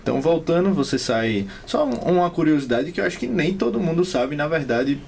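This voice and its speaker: A man's voice: on the high side, very animated, fairly quick, creaky, a little mellow, slight background noise. A man, interviewing in a casual, chatty way as a podcast host.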